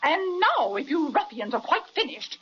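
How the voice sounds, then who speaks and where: Huffy, haughty, high-pitched lady pelican. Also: big pitch swings, moderate pace, fairly bright tone. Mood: huffy indignation. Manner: pompous, huffy, sputtering. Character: haughty, indignant blue-blooded female pelican. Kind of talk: old-time children's radio serial acting. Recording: dull, band-limited old radio recording.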